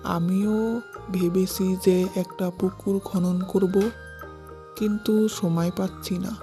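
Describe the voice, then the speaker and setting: Humble villager played by a male actor for a children's cartoon: dark tone, very even pitch, plain measured speech. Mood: helpful. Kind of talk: scripted cartoon dialogue. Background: faint music.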